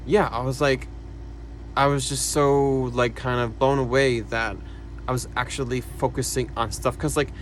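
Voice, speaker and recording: A young man talking casually to camera about his own life, soft-spoken and hesitant, with many fillers and quiet sighs. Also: mid-range voice, fairly even intonation, moderate pace, a little hiss or hum. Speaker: vlogger. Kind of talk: casual personal talk to camera.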